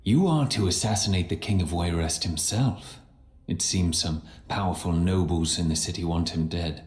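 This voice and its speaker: An actor playing a grim, solemn conspirator of a secret brotherhood in a fantasy video game, speaking deliberately. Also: male voice, low-pitched, darkish tone, close to an everyday voice. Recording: roomy echo, faint music behind.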